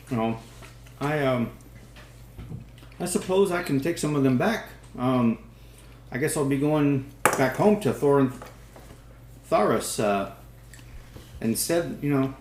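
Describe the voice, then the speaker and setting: A man's voice: medium pitch, darkish tone, slight background noise, lightly acted. A man voicing an obliging wandering traveler who talks in a hesitant, rambling way, recorded in an echoey room.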